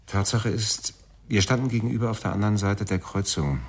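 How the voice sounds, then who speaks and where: A man playing a deferential, attentive police sergeant in a German radio crime drama, careful and deliberate in his wording. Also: fairly low pitch, steady tone, slightly rough. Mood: probing.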